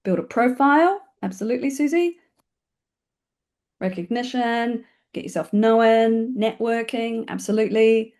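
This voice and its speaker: A woman with a New Zealand accent, talking fast and informally as she hosts an online webinar, with a chatty, self-deprecating manner. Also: fairly high pitch, darkish tone. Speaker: webinar host and film community organiser. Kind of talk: informal spoken webinar presentation. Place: online video call.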